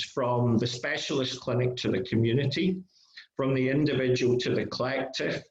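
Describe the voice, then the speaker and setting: A middle-aged man with a Scottish and Northern Irish accent gives an academic talk over a video call, pitched in a middling register. He is self-deprecating and hesitant, restarting his phrases often. The sound is dull and lo-fi, with an echoey room around him. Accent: Scottish and Northern Irish mix. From Scotland. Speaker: guest lecturer. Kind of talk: academic presentation.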